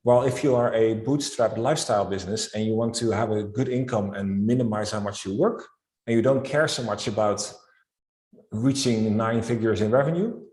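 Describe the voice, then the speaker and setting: Man, dutch accent, calm structured explainer, lowish voice, echoey room on a video call. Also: moderate pace. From Netherlands. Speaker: guest, e-commerce accounting expert. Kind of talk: interview answers.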